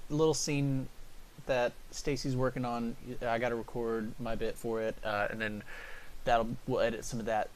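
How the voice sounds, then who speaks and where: A man talking informally to viewers of his livestream, thinking aloud in a halting, casual way as he works. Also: medium pitch. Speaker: streamer and podcast maker. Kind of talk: casual livestream chat while editing. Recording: hissy, noisy home stream recording.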